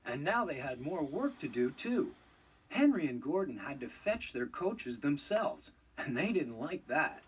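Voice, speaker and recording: A man narrating a children's read-along storybook in an expressive, scripted storytelling style. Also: fairly high pitch, measured pace, breathy edge, distant mic, poor audio.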